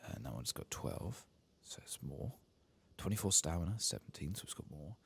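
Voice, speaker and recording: Male ASMR gamer, very low creaky voice, soft-spoken to whispered, muttering to himself, faint background noise. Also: big pitch swings, moderate pace. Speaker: host of an ASMR game playthrough.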